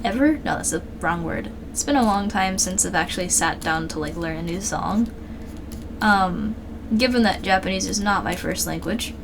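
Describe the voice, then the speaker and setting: A woman's voice: medium pitch, background noise. Chatty woman streamer, tired and easygoing. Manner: rambling, chatty, many fillers and restarts. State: low-energy, throat a little worn. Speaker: live streamer and artist. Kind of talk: casual live-stream chat while drawing.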